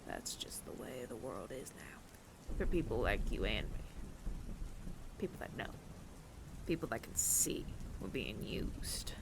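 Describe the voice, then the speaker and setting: A man performs a weary, remorseful sci-fi bounty hunter in an audio drama. The hushed voice sits fairly high and crackles with creak, halting deliberately between phrases while rain falls clearly behind it. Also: exaggerated voice. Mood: tender, melancholy. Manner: hushed, breathy, deliberate with heavy pauses. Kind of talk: dramatic ASMR audio roleplay monologue.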